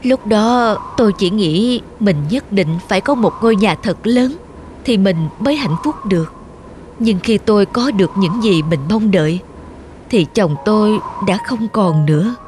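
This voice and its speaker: A woman's voice: mid-range voice, animated, a little slow, a little mellow, a little hiss or hum, natural voice. A woman playing a lonely, regretful middle-aged woman who lives alone, in a cartoon. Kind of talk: Vietnamese cartoon voice acting. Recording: music under the voice.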